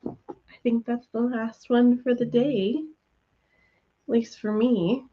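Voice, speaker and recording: A woman hosting a casual reading livestream from home, her voice worn from a cold, chatty and relaxed with many ums and soft laughs. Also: fairly high pitch, slow pace. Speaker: livestream host of reading sprints. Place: at home, room with her cat. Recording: dull lo-fi stream audio.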